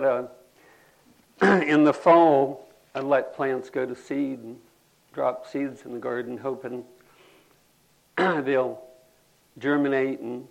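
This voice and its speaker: An older man, telling folksy rambling stories to a gathering and clearing his throat now and then. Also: mid-range voice, a little mellow, poor audio. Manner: folksy, drawling, says y'all. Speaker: storyteller at a community gathering.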